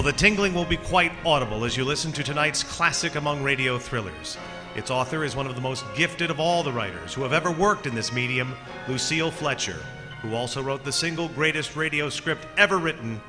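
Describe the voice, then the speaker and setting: Guy hosting old-time radio mystery show, theatrical scripted intro, music pretty loud behind him. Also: mid-range voice, brisk.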